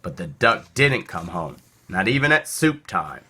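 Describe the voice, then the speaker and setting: A man narrates a children's picture book with a bright, lively voice. He reads slowly and deliberately, with theatrical swings of emphasis for young listeners. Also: deep voice, breathy edge. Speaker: storybook reader. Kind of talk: expressive children's read-aloud narration.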